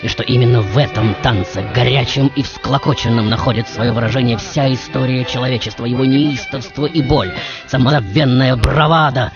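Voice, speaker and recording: A man playing a passionate, self-assured tango musician who tells his life story in dramatic, deliberate phrases. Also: medium pitch, natural voice. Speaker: radio show host. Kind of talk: theatrical first-person storytelling as a famous musician. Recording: dull radio recording with music.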